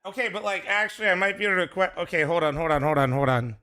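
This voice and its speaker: A man streaming a watch party, reacting loudly with yelling, swearing and laughter, his voice going hoarse. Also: sits high, steady tone, quick pace. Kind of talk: excited live reaction chat.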